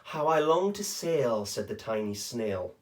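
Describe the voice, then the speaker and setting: A man's voice: medium pitch, big pitch swings, unhurried, pitched below the performer's own voice. A man voicing a tiny female sea snail, wistful and earnest, in a children's storybook.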